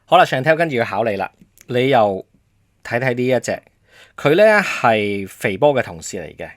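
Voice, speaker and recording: A Hong Kong Cantonese man hosts a casual quiz with very varied, animated intonation. He explains things in a playful, prompting way, tossing out questions and hints. The sound is dry, with little room on it. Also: mid-range voice, moderate pace, dry recording. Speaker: radio host and quizmaster. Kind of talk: casual promotional quiz chat.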